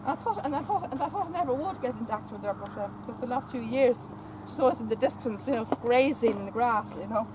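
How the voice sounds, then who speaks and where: A woman with a high voice stands outdoors in a stud farm paddock, cooing at a mare. She repeats herself and trips over words as she chats. Wind and farm sounds come through clearly around her camera audio. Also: lively intonation, fast. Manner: repeats phrases, stammers on words; coaxing baby talk to the mare. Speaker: vlogger visiting a stud farm. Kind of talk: casual talk to a horse and companion. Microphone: camera held by companion.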